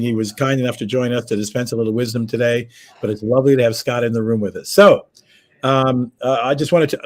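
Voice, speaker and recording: A man's voice: mid-range voice, a little mellow. Guy w a head cold, froggy, rambly chatty host on a video call from home. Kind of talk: casual travel news chat. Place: his home.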